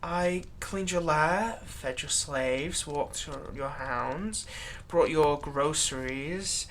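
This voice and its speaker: A man's voice: on the high side, very slow, a bit bright, a little hiss or hum, heavily acted. A man playing a servile, nervous vampire's thrall in a roleplay audio drama, stammering and deferential. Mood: dutiful, weary. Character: servile, nervous male vampire's thrall, bitterly eager to please.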